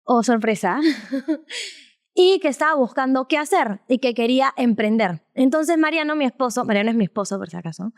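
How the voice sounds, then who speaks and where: A woman's voice: a little high, expressive, a little fast. A young woman co-hosting a podcast, chatting casually and telling a story with frequent laughs. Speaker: podcast co-host.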